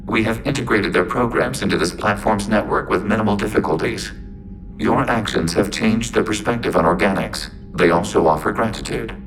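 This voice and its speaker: An actor playing a calm, logical synthetic android in a game, with formal, measured speech and a robotic filter. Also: male voice, on the low side, noisy background. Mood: calm, courteous. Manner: formal, measured, speaks of itself as we.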